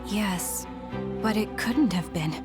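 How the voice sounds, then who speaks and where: A reserved young woman of medium pitch, voiced by an actress as an introspective soldier heroine. It is video game drama with prominent music behind her. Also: slow pace, natural voice. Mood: pensive. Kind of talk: video game cutscene voice acting. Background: loud music.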